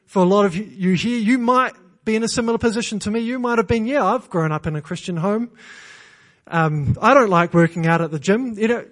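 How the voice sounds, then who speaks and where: A man with a New Zealand accent preaching from a church pulpit. His voice sits fairly high, and he drives his points home with earnest repetition, lightened by self-deprecating jokes. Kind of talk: sermon.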